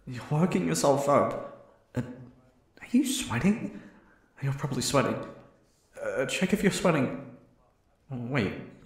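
A man's voice: medium pitch, a little mellow, reverberant. A man playing a shy, flustered young man on a first date in an ASMR roleplay, rambling and stammering nervously. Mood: anxious self-talk. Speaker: voice actor.

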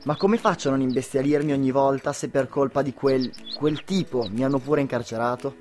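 A man's voice: medium pitch, brisk, darkish tone, soft background music, close to an everyday voice. A young man, a student actor playing a boastful, lovestruck, hot-headed husband in an amateur radio drama. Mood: indignant, bitter. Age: young adult.

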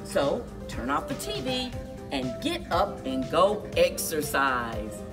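A middle-aged woman speaking to young children as a story time host, with exaggerated, drawn-out storyteller delivery. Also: lowish voice, huge pitch swings, distant mic, over music. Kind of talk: expressive picture-book read-aloud and leading action activity for children. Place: indoors, children's library room.

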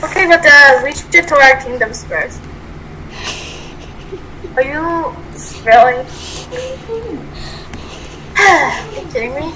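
Excitable girl playing a game, high voice, repeats stuff, noisy background. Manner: excitable, repeats words rapidly. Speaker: gamer playing a role-play game. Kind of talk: casual gameplay chat. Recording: clearly noisy home recording.

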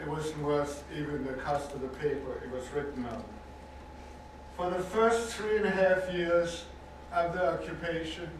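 An older man with a Danish accent speaks with earnest, measured weight, often repeating a word for emphasis as he addresses a listening audience. His voice sits a little high for a man. It is picked up from a distance in a reverberant lecture hall with a faint hiss underneath. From Denmark. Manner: earnest, measured, stresses points by repeating words. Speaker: Holocaust survivor speaker. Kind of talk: personal testimony talk to an audience. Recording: echoey hall recording from far off.

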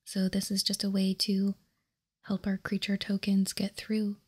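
A woman narrates in a soft, breathy ASMR whisper. Her delivery is very even and unhurried, with a mellow, relaxed tone. She sounds like a host calmly presenting items on a tabletop. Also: medium pitch. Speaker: ASMR card game video host. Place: indoors, tabletop card showcase.

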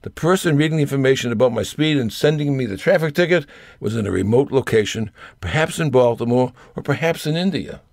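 An older man narrates his own personal essay. His voice sits in a middle register and has a gravelly creak. He reads with wry grumbling, and the recording is very dry, with no room sound. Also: mid-range voice, animated, quick pace, darkish tone, dry recording. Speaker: storyteller reading own essay.

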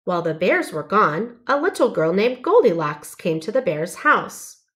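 Animated woman reading a children's story. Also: medium pitch, normal speed. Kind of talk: expressive children's storybook narration.

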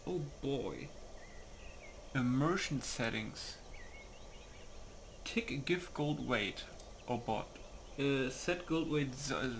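A man's voice: medium pitch, slight background noise. A man giving casual gameplay commentary, muttering as he reads menu options aloud. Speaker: gamer recording a playthrough. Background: music.

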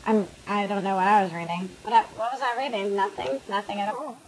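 A woman's voice: medium pitch, steady tone, a little fast. A young woman joking casually into a computer microphone in a living room, with noisy webcam audio. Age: young adult. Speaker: vlogger. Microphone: built-in computer microphone.

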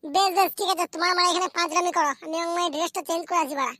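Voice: very high voice, steady tone, very fast, sharp tone, dry recording, studio quality. A performer voicing a cheeky, whiny, foul-mouthed young bridegroom in a slapstick comedy cartoon. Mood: cheeky, eager.

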